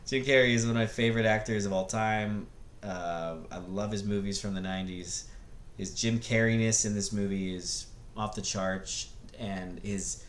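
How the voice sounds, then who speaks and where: Male video host, lowish voice, off-the-cuff and halting, roomy echo with faint noise. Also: fairly bright tone. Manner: halting, searching for words. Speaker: video host reviewing movies. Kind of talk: casual unscripted movie commentary. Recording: echoey room, faint background noise.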